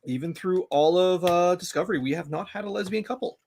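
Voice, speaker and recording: A man co-hosting a podcast, talking casually about a TV series with halting restarts. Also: sits high, a little mellow, slight background noise. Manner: halting, many restarts and fillers. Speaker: podcast co-host. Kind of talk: casual fan discussion.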